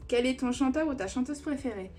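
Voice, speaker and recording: A woman's voice: high-pitched, brisk, darkish tone, faint music behind. A woman in her thirties answering viewer questions in a casual beauty vlog, chatty and digressive with many fillers. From Italian family roots. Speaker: beauty vlogger. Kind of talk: casual Q&A chat.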